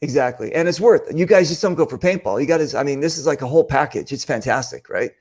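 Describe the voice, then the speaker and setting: A man's voice: on the high side, lively intonation, quick pace, darkish tone. Rambling curious male host. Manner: rambling, restarts sentences, curious. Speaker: podcast host. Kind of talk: casual chat, asking questions.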